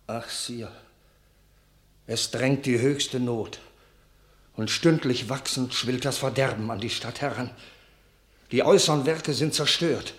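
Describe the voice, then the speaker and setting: A man's voice: mid-range voice, measured pace, pauses often, lightly acted. An actor playing a town councilman from a besieged city, imploring the king in a classic German radio play. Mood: pleading. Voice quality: imploring, solemn.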